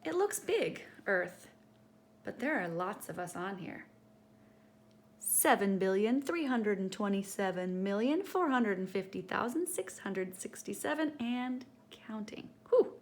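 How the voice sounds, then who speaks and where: A woman reading a picture book aloud to children in an expressive, teacherly way, speaking directly to her young listeners. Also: mid-range voice, a little slow.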